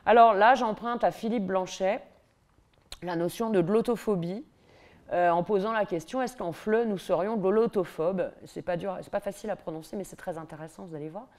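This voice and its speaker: Middle-aged Parisian-accented woman lecturer; lively, ironic delivery at a lectern. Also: medium pitch, normal speed. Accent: Parisian French. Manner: lively, ironic, mimics attitudes with asides. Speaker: university lecturer. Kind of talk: public university lecture. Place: large lecture hall, at a lectern on stage.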